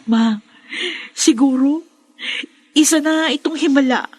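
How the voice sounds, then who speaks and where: Radio serial casting: sweet, hopeful young daughter, Filipino accent, higher pitch, very dry recording. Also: female voice, slow, a little mellow, dry recording. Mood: excited, nervous. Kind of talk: Filipino radio drama acting.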